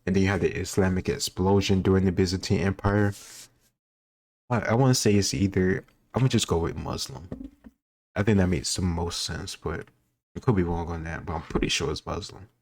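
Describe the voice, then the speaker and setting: Low-pitched man, casual rambling commentary. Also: steady tone, a little fast, creaky. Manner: informal, rambling, frequent "like". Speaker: host reacting to a history quiz video. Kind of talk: casual commentary, thinking aloud.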